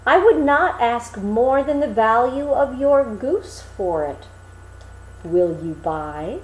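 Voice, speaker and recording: A woman's voice: fairly high pitch, lively intonation, slow pace, reverberant, poor audio. A woman voicing a sly, self-satisfied male tradesman in a storybook tale, boastful and persuasive. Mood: wheedling. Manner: smooth-talking, persuasive, boastful.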